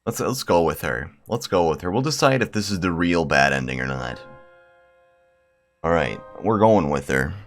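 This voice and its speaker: A man's voice: low-pitched, lively intonation, normal speed, soft background music. A man giving casual gameplay commentary in a blunt, slangy, dry way. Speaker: streamer.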